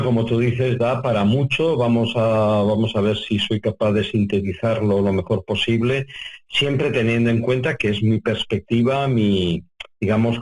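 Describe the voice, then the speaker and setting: An older man from Spain with a fairly low voice speaks as a guest in an interview. He is conversational and reflective. He talks in long, wordy runs, repeating and rewording phrases along the way. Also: a little mellow, low-quality recording. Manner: wordy, repeating and rephrasing as he goes. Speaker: interview guest, UFO contactee researcher.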